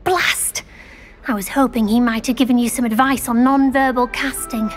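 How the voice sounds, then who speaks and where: A young woman playing an earnest, easily frustrated teenage witch with a British accent, crisp and emphatic. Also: fairly high pitch, fairly even intonation, moderate pace, soft background music, lightly acted. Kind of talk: scripted game dialogue.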